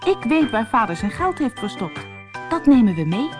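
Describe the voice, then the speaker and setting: A woman's voice: fairly high pitch, big pitch swings, moderate pace, music in the background, voice pushed up. A woman voicing a sly, haughty young woman in love, theatrical and exclamatory, for a storybook. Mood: eager, loving. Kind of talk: storybook character acting.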